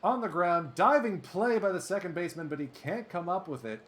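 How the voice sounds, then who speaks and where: A man doing play-by-play commentary over a baseball sim game, excitable and chatty, drifting into asides. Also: high voice, a little fast, a little hiss or hum. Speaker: let's play host.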